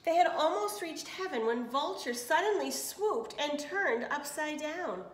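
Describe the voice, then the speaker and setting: A woman's voice: high-pitched, animated, measured pace. A woman reading a picture book aloud to children as a storyteller, expressively, with little asides and questions. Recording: echoey room.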